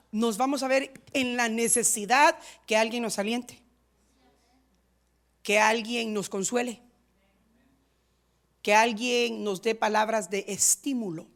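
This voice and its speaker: A woman pastor preaching a sermon in a rhythmic, repetitive style, moving between loud stressed lines and quiet asides. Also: a little high. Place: church in San Diego.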